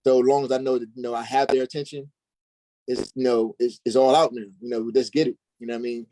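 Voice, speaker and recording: A man's voice: mid-range voice, little pitch movement, fast, dark tone, no room sound, low-quality recording. A man speaking as an interview guest and stage actor, telling his experiences in a casual, conversational way.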